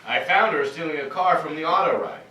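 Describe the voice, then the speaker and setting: A performer playing a big, forceful, irritable android in a staged cartoon reading. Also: mid-range voice, a little fast, highs cut off, natural voice. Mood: stern. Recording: echoey room, far from mic.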